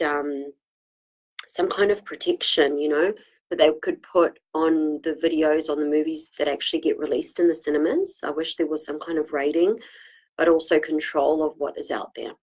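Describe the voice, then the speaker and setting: A woman with a slight cold, clearing her throat often, giving a rambling, casual spiritual talk full of fillers and restarts. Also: fairly low pitch, poor audio. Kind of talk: casual spoken talk, answering viewers' comments.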